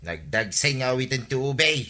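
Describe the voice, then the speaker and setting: An actor playing a nosy, scheming village husband in a radio comedy drama. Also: male voice, medium pitch, expressive, moderate pace, sharp tone. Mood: curious, scheming.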